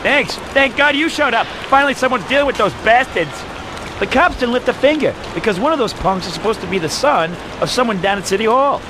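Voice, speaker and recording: An actor playing a grateful, fed-up city local in a video game, talkative and grumbling. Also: male voice, very high voice, expressive, fairly quick. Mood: relieved, indignant. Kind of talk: video game cutscene acting. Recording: game audio with street noise.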